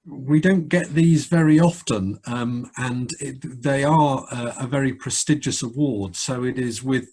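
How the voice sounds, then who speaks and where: Formal husky-voiced male commandant. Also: mid-range voice, measured pace, hardly any pauses, darkish tone. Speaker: cadet force commandant. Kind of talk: formal citation reading and presentation.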